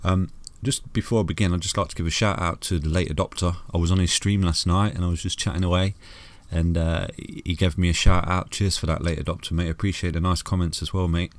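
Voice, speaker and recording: Brief man, deep and soothing, rambling friendly chart walkthrough, dry close mic. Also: fairly even intonation, fast, dry recording. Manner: chatty, calls viewers "guys" and "mate", many restarts. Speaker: crypto chart analyst.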